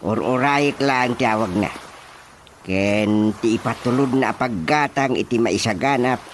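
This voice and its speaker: A man's voice: mid-range voice, a little slow, a little hiss or hum, lightly acted. A man playing a jovial, wily older village chairman in an Ilocano radio comedy, chuckling and coaxing with an Ilocano accent. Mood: jovial, scheming.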